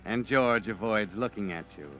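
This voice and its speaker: Brooding man narrating old radio drama. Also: fairly low pitch. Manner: slow, brooding, insinuating. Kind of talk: second-person 1940s radio narration. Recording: dull old radio broadcast with music.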